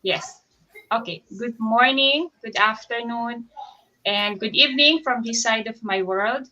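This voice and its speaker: A woman's voice: a little high, a little slow, sharp tone, highs cut off, slight background noise. A woman from the Philippines giving a polite self-introduction on an online call. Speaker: guest on a group call.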